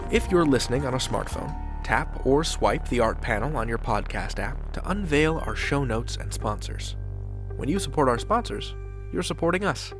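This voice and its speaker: Male podcast host announcing over music. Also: mid-range voice. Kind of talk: scripted announcing, dramatic outro and credits.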